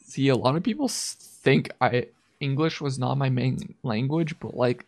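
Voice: medium pitch, moderate pace, a little mellow. A livestream host chatting casually with a guest in an informal, encouraging way. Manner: informal and encouraging, with 'man' interjections. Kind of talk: casual chat.

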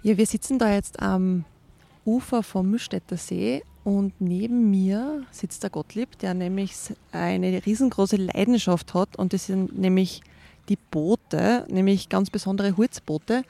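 A woman's voice: mid-range voice, unhurried, gravelly, dark tone. A woman from Austria with an Austrian accent, hosting a travel podcast, narrating and interviewing. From Austria (born in Carinthia, grew up in Styria). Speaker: podcast host.

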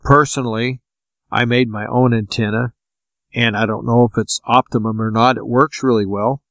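A man hosting an informal radio talk, explaining practical tips in a plain-spoken, unhurried manner. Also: mid-range voice, steady tone, vocal fry, a bit bright, no echo. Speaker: radio show host giving advice.

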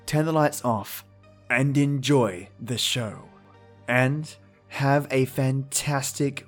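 Male podcast host over soft music. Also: fairly high pitch. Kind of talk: casual scripted podcast introduction.

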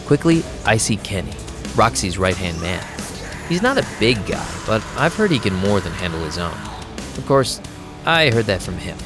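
A young vampire DJ telling his own story in an audio drama. The voice is very low, with music clearly underneath. He is casual, wry and quick to sarcasm. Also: sing-song. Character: young male vampire DJ, streetwise, sarcastic narrator. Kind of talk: first-person audio drama narration and dialogue. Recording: music behind the voice.

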